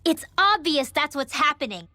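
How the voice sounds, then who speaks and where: A woman's voice: high voice, very animated, normal speed, bright, forceful tone, no echo, clean studio sound, exaggerated voice. A woman voicing a distrustful, hot-tempered teenage girl mage in a fantasy video game, indignant and insistent. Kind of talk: video game dialogue acting.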